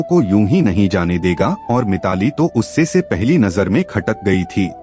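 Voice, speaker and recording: A man narrates a scripted story in a fairly low voice. His delivery is dramatic and very lively in pitch, swinging up and down as he reads. Soft music plays behind him. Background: background music.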